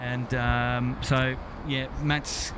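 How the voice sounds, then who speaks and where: A man's voice: medium pitch, little pitch movement, very slow. An Australian man hosting a plane spotting livestream with casual, matey commentary and banter. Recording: loud outdoor vehicle and ambient noise.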